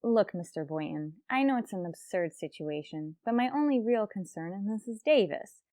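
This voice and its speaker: An actress playing a wry, lovelorn schoolteacher with deadpan, sarcastic wit in an old-time radio comedy. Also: female voice, medium pitch, moderate pace, dry recording, close to an everyday voice. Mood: dry, wry.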